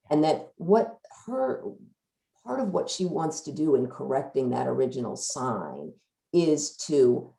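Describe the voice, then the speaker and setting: A woman with a low, gravelly voice talks through a book analytically. Her tone is mellow and her sentences loop and hedge as she thinks. The sound is roomy and echoing. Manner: hedging, circling sentences with frequent "sort of". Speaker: discussion leader at a book talk. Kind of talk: thoughtful analytical book discussion.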